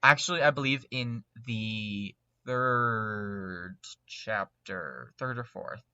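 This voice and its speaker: A man's voice: lowish voice, expressive, slow pace, sharp tone, clear, resonant tone, no echo, dull audio. A man chatting casually and enthusiastically as a panelist in a fan discussion. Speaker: podcast panelist.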